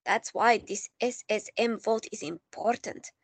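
A woman playing an older Greek-born artist and grandmother, calm and dryly teasing in an audio drama. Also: a little high, unhurried, slightly rough, no room sound. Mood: wry. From Greece.

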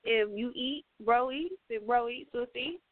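A woman radio host talks in a relaxed, slangy way, leaning on fillers as she thinks aloud. Her voice sits a touch high and dry. It comes through a thin phone-like line. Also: unhurried, no room sound. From United States. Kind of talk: casual radio talk. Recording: tinny phone-line sound.

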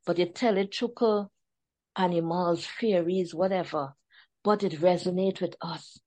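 A woman talks about her writing in a casual interview. She is an animated storyteller who acts out the voices of the people she quotes. Her voice sits a little low. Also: unhurried. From Trinidad and Tobago. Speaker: author guest.

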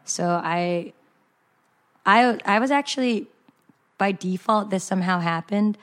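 A woman's voice: medium pitch, measured pace, fairly bright tone. A young adult woman chef from San Jose, chatting casually as a podcast guest, laughing often and trailing off mid-sentence. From San Jose, California. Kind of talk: casual chat.

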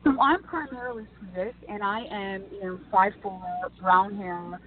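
A woman's voice: mid-range voice, moderate pace. A woman from Minnesota, a TV and news personality, chatting animatedly as an interview guest. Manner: chatty and animated, frequent restarts. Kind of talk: casual interview answers. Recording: tinny phone-like line.

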